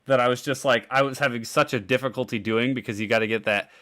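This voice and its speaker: A man's voice: mid-range voice, steady tone, fast, pushed and bright. A man co-hosting a podcast in a laid-back casual chat, laughing often and trailing off mid-sentence. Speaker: podcast co-host.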